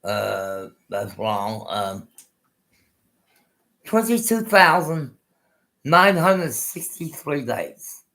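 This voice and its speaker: An older man in his sixties hosts his own live stream, chatting loosely and going back over his words. His toothless speech can sound unclear, and his voice has a bright, forward edge. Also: medium pitch, very animated, drawn-out words, long pauses. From Colorado, USA. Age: 60s. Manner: rambling, repeats himself, restarts often. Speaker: host. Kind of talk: casual live-stream chat.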